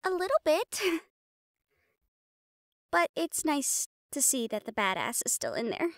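A woman's voice: high voice, sing-song, unhurried, no echo, clean studio sound, pitched above the performer's own voice, full character voice. A woman playing a feisty young female hunter, brash and casual, in an audio roleplay. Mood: teasing.

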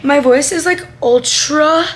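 Raspy eighteen-year-old woman vlogging. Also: sits high, takes it slowly, slight background noise. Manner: chatty, lots of "like" and "um". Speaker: vlogger and singer. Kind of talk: casual vlog chat to camera. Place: small bedroom, then inside a car. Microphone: handheld camera close to face.

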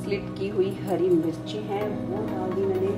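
Female cooking host, mid-pitched, methodical recipe voice-over in a roomy room with clear music behind. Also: moderate pace. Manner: steady step-by-step instructions, addresses viewers as friends. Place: home kitchen. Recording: roomy kitchen sound with music.

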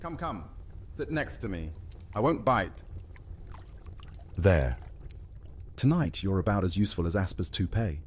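A man's voice: sits low, big pitch swings, unhurried, long pauses, slight background noise. A man playing a flippant, theatrical vampire soldier with a sardonic manner in a fantasy audio drama. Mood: teasing. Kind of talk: immersive fantasy roleplay audiobook acting.

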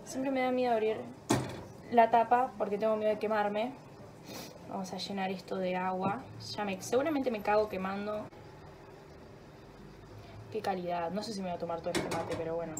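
A woman's voice: mid-range voice, fairly even intonation, moderate pace, long pauses, background noise. A young woman with a Rioplatense Spanish accent rambling casually and joking at herself while vlogging from her home kitchen, with a sore throat and a stuffy nose. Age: young adult. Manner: chatty, rambling and self-mocking, swears freely. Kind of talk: casual vlog chat.

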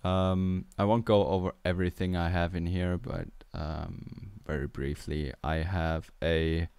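A young Austrian man with a German accent talks slowly in a deep, smooth voice. He explains music production in a relaxed, hesitant way, with many ums and uhs. He speaks close to a studio microphone in a small home studio. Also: no room sound. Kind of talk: tutorial walkthrough. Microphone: studio mic on boom arm with headphones.

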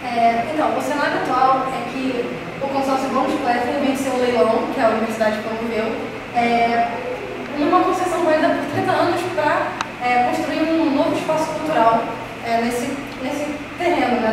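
Young rio woman giving a class presentation, highish voice, super echoey room. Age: young adult. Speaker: journalism student presenter. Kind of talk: student conference presentation. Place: classroom, indoors. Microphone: distant camera microphone. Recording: echoey room from far off, noisy.

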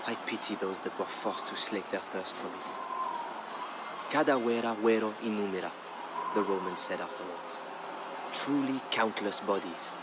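Solemn low-voiced man narrating over music. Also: poor audio. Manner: solemn, measured, storytelling delivery. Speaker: storyteller narrator of a historical game campaign. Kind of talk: scripted dramatic narration. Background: background music.

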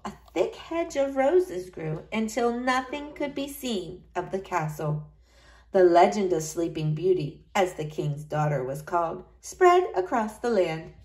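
A woman reading a storybook aloud to young children, expressively, in the manner of a school librarian. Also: fairly high pitch.

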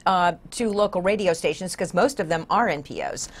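A woman's voice: lowish voice, brisk. A woman in her sixties talking conversationally as the host of a casual interview show. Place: small TV studio, indoors.

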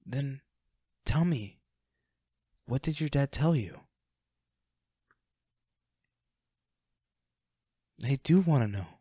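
A man playing a devoted, protective bodyguard with a dark past in an intimate audio roleplay, speaking earnestly and gravely. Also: mid-range voice, expressive, unhurried, no echo, studio quality. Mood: earnest, pleading.

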